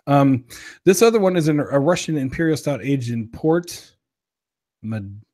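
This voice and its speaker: A man's voice: medium pitch, animated, measured pace, mellow, dry recording, clean studio sound. A young man co-hosting a podcast, chatting casually and jokingly as he reviews drinks, with a self-deprecating streak. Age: young adult. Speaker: podcast co-host. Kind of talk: casual beer review chat.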